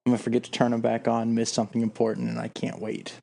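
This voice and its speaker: A man's voice: mid-range voice, completely flat delivery, fast talker, vocal fry, warm tone. A man co-hosting a podcast in casual banter, joking in a dry, self-deprecating way. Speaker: podcast co-host.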